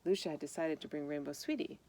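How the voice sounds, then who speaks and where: A woman's voice: low voice, fast, mellow, slight background noise. A woman reading a children's picture book aloud, explaining things simply and speaking directly to young listeners. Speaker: storybook reader.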